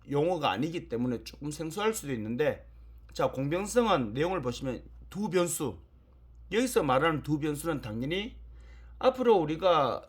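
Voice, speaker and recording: A man's voice: fairly high pitch, breathy edge. A man lecturing in an explanatory, emphatic way, repeating key points and asking rhetorical questions.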